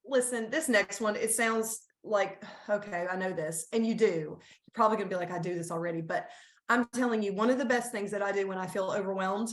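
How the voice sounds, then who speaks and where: A woman's voice: mid-range voice, a little fast. A woman gives an earnest, encouraging talk to teachers over a laptop webcam mic. She often restarts her sentences as she thinks aloud. The sound has a roomy echo from her home room. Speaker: school staff presenter. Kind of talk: conference talk on a video call.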